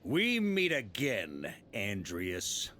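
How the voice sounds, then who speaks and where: An actor playing a confident, hearty middle-aged knight grandmaster in a video game, commanding yet jovial. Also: male voice, lowish voice, huge pitch swings, very slow, bright, forceful tone, slight background noise, heavily acted.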